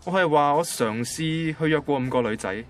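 Actor doing a nerdy young hong kong cantonese guy, defensive, matter-of-fact, radio drama w soft music. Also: a little high, moderate pace, lightly acted. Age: young adult. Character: ordinary nerdy young male banker, literal-minded, defensive.

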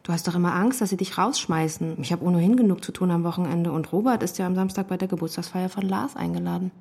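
A woman's voice: lowish voice, fast talker, creaky, darkish tone, slightly rough. An actress playing a supportive, busy young mother in intimate radio drama dialogue, casual and matter-of-fact. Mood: calm, casual.

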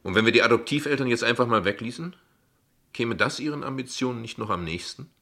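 A shrewd ironic dad in a radio play, husky and sharp, talks very pointed and polite. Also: male voice, medium pitch, quick pace. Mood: coolly ironic. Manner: eloquent, pointed, condescendingly polite. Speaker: actor. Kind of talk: dialogue acting in a German radio drama.

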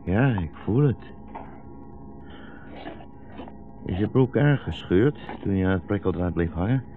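A man's voice: low-pitched, expressive, moderate pace, soft background music. A man playing an old blind rabbi, weary and sardonic, with ironic, rhetorical turns, in a 1960s radio drama. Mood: weary, wry. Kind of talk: 1960s radio play acting. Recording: muffled, noisy 1960s radio recording.

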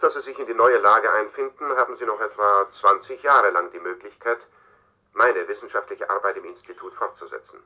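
Cool domineering scientist, piercing husky tone, low-pitched, formal measured monologue, sci-fi radio drama. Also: male voice, exaggerated voice. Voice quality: husky, sharp-edged. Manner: formal, measured, matter-of-fact. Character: cool, domineering male scientist leaving recorded instructions to successor. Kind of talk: German science-fiction radio play monologue.

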